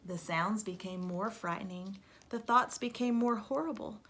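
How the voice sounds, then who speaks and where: A woman teacher reading a storybook aloud to young children, expressive and emphatic, speaking to them directly. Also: mid-range voice, normal speed, a little hiss or hum.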